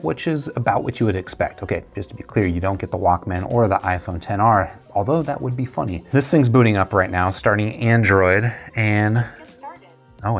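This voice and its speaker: A man chatting casually through a tech review and unboxing, conversational and thinking aloud as he goes. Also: on the low side.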